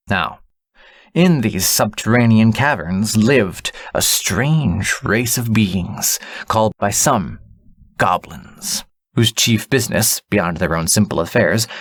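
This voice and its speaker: A man reading a storybook as an audiobook narrator, in a deliberate, dramatic way. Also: deep voice, dry recording, studio quality.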